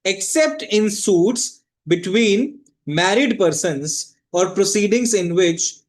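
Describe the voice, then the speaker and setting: Male law lecturer, Indian accent, high-set voice, didactic delivery with repeated key phrases. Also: lively intonation, slow pace. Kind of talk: explanatory lecture.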